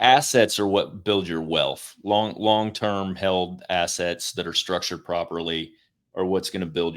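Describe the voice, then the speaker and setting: A middle-aged man hosting a podcast, talking in a relaxed, rambling way and swearing casually. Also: low voice. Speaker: podcast host.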